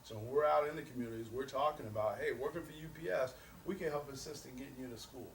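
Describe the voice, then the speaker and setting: A man's voice: mid-range voice, fast. A man being interviewed as a company representative, explaining things earnestly and promotionally and repeating his key points. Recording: roomy echo, distant mic, faint noise.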